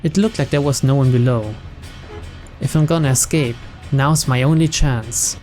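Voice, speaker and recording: A man's voice: mid-range voice, moderate pace, darkish tone. Man reading game narration aloud over music. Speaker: video game let's player.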